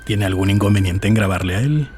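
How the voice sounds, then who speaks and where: Actor playing male police director, authoritative, low voice, fast-talking, audio drama. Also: darkish tone, slight background noise, close to an everyday voice. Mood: stern. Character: commanding, pressuring male director of police agency.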